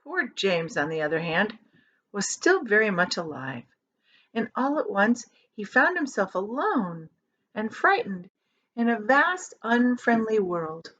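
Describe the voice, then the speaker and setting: A woman reads a children's story aloud with very lively, swooping intonation. She leans on key words and stretches them out for drama. The recording is slightly dull and lo-fi. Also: mid-range voice. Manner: expressive, dramatic emphasis with drawn-out key words. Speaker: teacher reading aloud.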